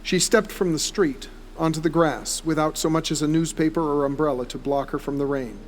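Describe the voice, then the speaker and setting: A man's voice: on the high side, fairly quick, a little hiss or hum. A man reading scripted, descriptive story narration for an audio drama, like a storyteller. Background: music.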